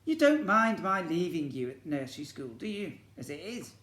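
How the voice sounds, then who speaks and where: A man voicing a kind, reassuring mother of a small boy in a storybook, gently coaxing. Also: on the high side, sing-song, normal speed, pitched above the performer's own voice. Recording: echoey room.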